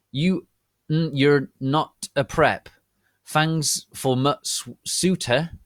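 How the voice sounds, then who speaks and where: A man's voice: on the high side, no echo, studio quality, voice pushed up. A man voicing a touchy, gushy teenage girl reading out her slangy author's notes.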